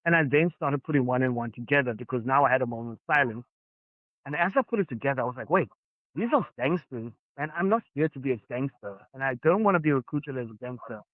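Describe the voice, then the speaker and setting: A man's voice: mid-range voice, animated, fast talker, no room sound. A man with a Johannesburg South African accent, earnestly recounting his past as a podcast guest and acting out the dialogue. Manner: earnest, reflective, acts out dialogue. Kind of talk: personal storytelling. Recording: dry, phone-like narrow sound.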